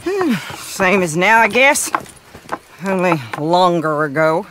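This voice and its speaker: An actress playing a wry, weary middle-aged outlaw gunslinger in a Western game, dry and resigned. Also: female voice, fairly low pitch, big pitch swings, slow, fairly bright tone, slight background noise, full character voice. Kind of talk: video game cutscene acting.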